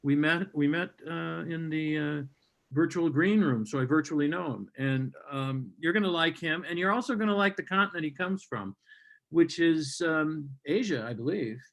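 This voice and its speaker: A man's voice: a little high, moderate pace, creaky, a bit bright. Sardonic man hosting a comedy stream. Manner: sardonic, rambling, mock-hostile. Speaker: comedy show host. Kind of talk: stand-up banter and introductions. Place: home, on a video call.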